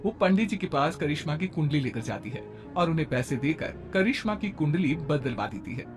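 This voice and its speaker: A storyteller narrates a scripted cartoon family tale. The voice sits at a medium pitch, with music playing underneath. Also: animated, a little fast.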